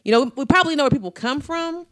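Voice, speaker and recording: A woman's voice: high voice, expressive, quick pace, a bit bright. An American woman co-hosting a casual discussion, talking in an earnest, reflective way. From United States.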